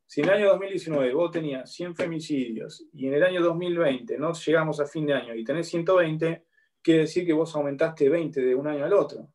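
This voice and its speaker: An Argentine man in his thirties lectures from a home room with a bookshelf, speaking into a laptop webcam. His voice sits slightly high, and his delivery is explanatory. He keeps pausing to check in with his students. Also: a little mellow. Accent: Argentine Spanish. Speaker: law lecturer. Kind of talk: online university lecture. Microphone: laptop webcam on a video call.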